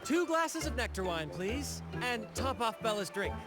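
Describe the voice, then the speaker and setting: A flamboyant young pirate captain from a video game, speaks in a high, swooping voice. He declaims like a showman, taking his time, with music behind him. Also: big pitch swings, measured pace, full character voice. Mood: easygoing, charming. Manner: theatrical, declamatory showman delivery. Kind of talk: theatrical video game voice acting. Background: game background music.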